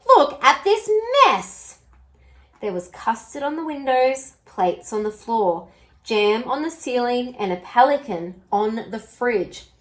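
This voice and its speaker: A woman storyteller reading a children's picture book aloud in an expressive style. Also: fairly high pitch, a little slow.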